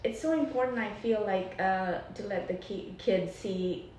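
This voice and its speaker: A woman's voice: medium pitch, unhurried, a little mellow, a little hiss or hum. A woman from Nepal, a dentist who owns her practice, chatting in an interview with many fillers and restarts. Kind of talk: casual interview chat. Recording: echoey room, distant mic.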